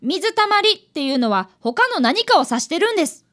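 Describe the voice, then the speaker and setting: A woman's voice: very high voice, very animated, a little fast, fairly bright tone, full character voice. A woman voicing an eager fifth-grade boy, an apprentice detective, in a radio drama. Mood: puzzling, insistent. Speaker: actor and narrator in a radio drama. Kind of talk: radio drama acting and narration.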